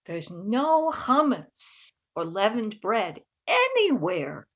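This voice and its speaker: A woman voicing an agreeable uncle full of ideas in a children's picture book, with emphatic, drawn-out delivery. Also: medium pitch, wildly swooping pitch, fairly bright tone, full character voice. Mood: agreeable, eager.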